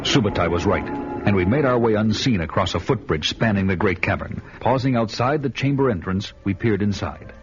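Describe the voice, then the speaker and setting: A man's voice: lowish voice, lively intonation, moderate pace, natural voice. Man playing a grim, solemn barbarian warrior, muffled radio sound. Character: grim, vengeful barbarian warrior telling his own saga. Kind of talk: dramatic first-person radio narration and acting. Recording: dull lo-fi radio recording, loud music behind.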